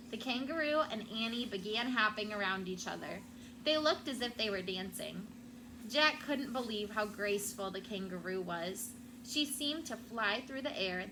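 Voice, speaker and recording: A woman teacher reading a children's book aloud and prompting pupils with questions. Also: medium pitch. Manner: prompting, checks understanding with questions. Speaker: elementary school teacher. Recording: clearly noisy room sound.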